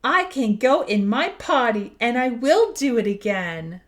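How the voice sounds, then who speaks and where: A woman voicing an eager, proud toddler from a storybook, with simple, expressive, sing-song delivery. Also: a little high, unhurried. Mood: excited, proud. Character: eager, proud toddler learning to use the potty.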